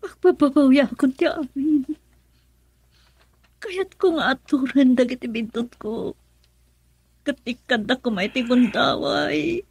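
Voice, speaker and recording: High-voiced, pleading Filipino wife. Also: female voice, slow pace, gravelly, long pauses, darkish tone, breathy edge, heavily acted. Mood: remorseful pleading. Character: remorseful wife and mother pleading to be forgiven. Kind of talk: Ilocano radio drama acting.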